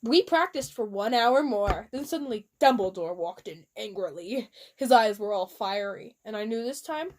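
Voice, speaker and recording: A woman's voice: medium pitch, lively intonation, moderate pace, a little mellow, slight background noise. A woman doing a mock-dramatic fan fiction reading with casual asides, giggly and theatrical.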